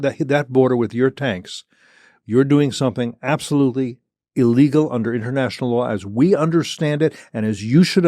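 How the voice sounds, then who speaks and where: An older man hosting a discussion, building an argument with stammering restarts and sudden mock quotations. His pitch sits mid-range, and the sound is dry and close. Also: a little mellow, dry recording. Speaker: host. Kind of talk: policy discussion.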